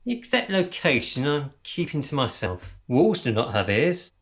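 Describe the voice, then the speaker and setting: A man's voice: mid-range voice, sing-song, moderate pace. A man playing an earnest, pompous gang leader who is easily flustered, in a radio comedy drama. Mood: pompous, businesslike. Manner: stammering and spluttering when worked up, otherwise pompous and precise.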